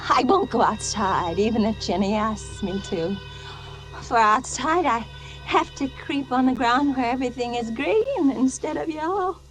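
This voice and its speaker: An actress playing a secretive wife descending into madness, intense and theatrical, confiding to herself as in a suspense radio drama. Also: female voice, a little high, animated, unhurried, low-quality recording. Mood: dreamy, deranged. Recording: radio drama with loud music behind.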